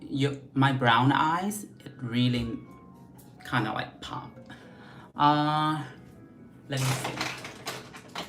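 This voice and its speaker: Chatty Vietnamese-accented woman vlogger. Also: a bass voice. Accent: Vietnamese mixed with Australian English. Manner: chatty, frank, reads viewer questions aloud. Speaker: beauty vlogger doing a livestream. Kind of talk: casual livestream chat while doing makeup.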